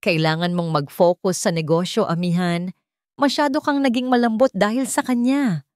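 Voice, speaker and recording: A woman's voice: medium pitch, fairly even intonation, normal speed, darkish tone, no echo, clean studio sound, voice pushed up, close to an everyday voice. A woman voicing a stern, domineering and bitter old rich father in a dramatic audio story. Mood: defiant, scornful. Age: older.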